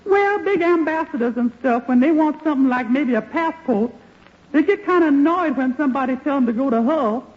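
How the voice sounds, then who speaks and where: Very high-pitched sassy housemaid. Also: male voice, animated, brisk. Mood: sassy, confiding. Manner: folksy, theatrical, sarcastic. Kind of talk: 1940s radio comedy acting. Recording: noisy old radio recording, muffled.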